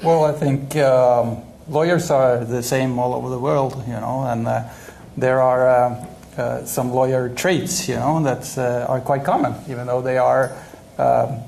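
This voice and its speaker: A man's voice: medium pitch, fairly even intonation, unhurried, vocal fry, darkish tone, a little hiss or hum. A man answering on a conference panel, filling his talk with "you know" and "uh". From Norway. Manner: many fillers, "you know" often. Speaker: head of innovation, panelist.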